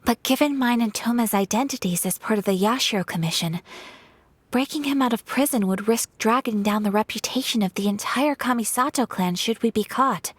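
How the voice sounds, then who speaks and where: A woman's voice: a little high, slightly rough, no echo. An actress playing a composed, earnest young noblewoman who speaks formally in a game. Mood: calm. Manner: formal, measured, elaborate speech. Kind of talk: game voice acting.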